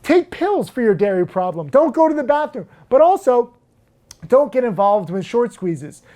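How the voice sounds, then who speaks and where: A man who teaches stock trading, talking casually to camera in a brash, emphatic way that turns loud and worked up, with crude jokes and lots of "um" and "like". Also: very high-pitched, very animated, moderate pace.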